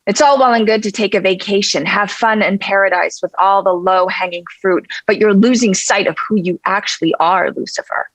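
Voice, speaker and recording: A woman's voice: medium pitch, moderate pace, hardly any pauses, no echo, close to an everyday voice, poor audio. Actress as scornful demon woman, mid-range voice, stern and impatient, close dry recording. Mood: scornful reproach. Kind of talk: scripted table read acting.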